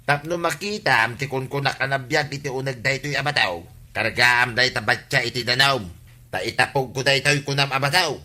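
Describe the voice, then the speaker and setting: A man's voice: medium pitch, brisk, pushed and bright. An actor with an Ilocano accent playing an insistent, simple village man in a comedy drama. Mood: earnest, insistent.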